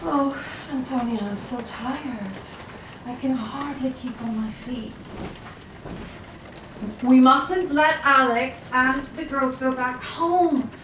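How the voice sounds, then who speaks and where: A woman's voice: high-pitched, takes it slowly. Woman acting a weary, practical woman, echoey and noisy. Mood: exhausted, exasperated. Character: weary, practical, kindly woman sheltering flood victims. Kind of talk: audio play acting. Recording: echoey room, distant mic, noisy background.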